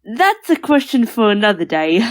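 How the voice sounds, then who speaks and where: Matter-of-fact heroine from the future acted by a woman, average female pitch, audio drama. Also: very animated, moderate pace, close to an everyday voice. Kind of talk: scripted audio drama narration.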